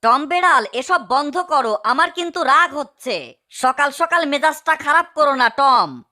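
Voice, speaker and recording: A woman puts on a small, hungry cartoon mouse, plaintive and easily offended. The voice is high and sharp, drawing words out slowly with melodramatic self-pity and scolding. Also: lively intonation, exaggerated voice. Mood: annoyed. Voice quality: high, bright. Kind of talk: fan-dubbed cartoon comedy.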